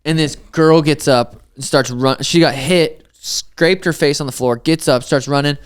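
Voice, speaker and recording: Young dude chatting on a podcast, cussing and laughing, real smooth clear tone. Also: male voice, a little high, normal speed. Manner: loose, swearing storyteller, laughs often. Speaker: podcast co-host, fighter. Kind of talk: casual podcast chat.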